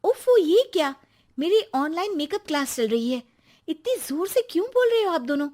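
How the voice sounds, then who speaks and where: A woman voicing a chatty, excitable young daughter-in-law in a family story, full of exclamations. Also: high voice, slow, pitched above the performer's own voice. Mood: annoyed. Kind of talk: acted dialogue in narrated Hindi family story.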